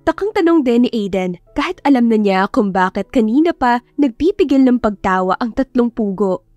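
A woman's voice: a little high, expressive, moderate pace, a little mellow, no room sound, muffled recording. A woman reading a scripted story as an audiobook narrator, in a dramatic storytelling style. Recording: music behind the voice. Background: background music.

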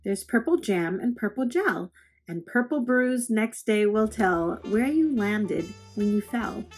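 Female reader for children's poetry: medium pitch, expressive and measured, plucked guitar music underneath. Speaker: read-aloud host.

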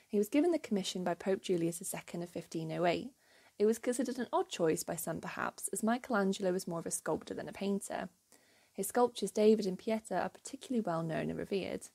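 A woman hosting a history channel, giving a clear, measured scripted narration with an enthusiastic storytelling manner. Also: medium pitch, animated, darkish tone.

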